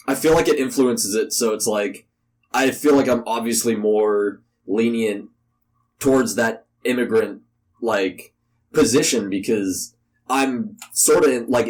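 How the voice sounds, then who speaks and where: A man's voice: lowish voice, darkish tone. A young American man giving casual, rambling interview answers with "like" sprinkled throughout. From United States. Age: young adult. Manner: rambling, informal, peppers speech with "like". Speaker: podcast interview guest.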